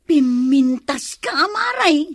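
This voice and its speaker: A plain-spoken village wife with an Ilocano accent and a fairly high voice , acted by a woman. She talks bluntly in the manner of a barangay comedy skit. The sound is dry and close, without room echo. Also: sing-song, slow, exaggerated voice. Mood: curious, surprised.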